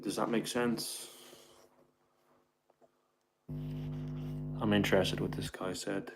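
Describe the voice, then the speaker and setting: A man's voice: lowish voice, steady tone, very slow, vocal fry, music in the background. A man hosting a casual livestream who answers his chat in a rambling way, calls viewers "bro", and keeps his voice down indoors at home. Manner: keeps his voice down so he won't disturb the landlord. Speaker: livestream host reading chat comments.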